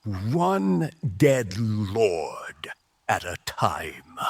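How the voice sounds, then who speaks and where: A man playing a fatherly but menacing rebel mage leader in a dramatic audio drama, speaking slowly and emphatically. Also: on the low side, big pitch swings, gravelly, a little mellow, slightly rough, exaggerated voice. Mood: solemn urging. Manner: slow, deliberate, emphatic delivery.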